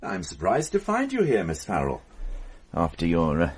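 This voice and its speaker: An actor playing a shrewd police inspector who asks measured, probing questions in a radio drama. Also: male voice, deep voice, huge pitch swings, a crowd in the background.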